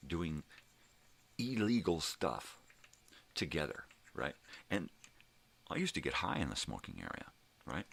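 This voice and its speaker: A middle-aged man with a gravelly voice, rambling casually as he tells stories with swearing and emphatic bursts. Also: sits low, fairly bright tone. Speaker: host of a daily personal vlog.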